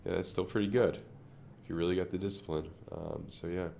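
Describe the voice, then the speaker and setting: A man's voice: low voice, fairly even intonation, fairly quick, vocal fry, mellow. A man streaming live crypto chart commentary, rambling and thinking aloud with many fillers, sounding nasal and congested from a sinus infection.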